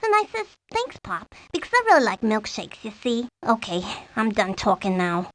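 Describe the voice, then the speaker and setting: A woman's voice: a little high, wildly swooping pitch, normal speed. A woman voicing a boastful, bossy eight-year-old girl with a cocky, slangy, streetwise manner in a video game. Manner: cocky, slangy, matter-of-fact. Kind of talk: cartoonish video game character lines.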